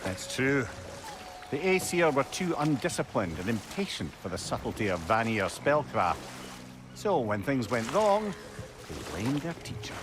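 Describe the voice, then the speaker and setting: A man voicing a talkative, wise old companion in a video game, cheeky, excitable and articulate. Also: medium pitch, measured pace, background noise. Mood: explanatory. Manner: animated, articulate, opinionated. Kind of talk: dramatic video game acting.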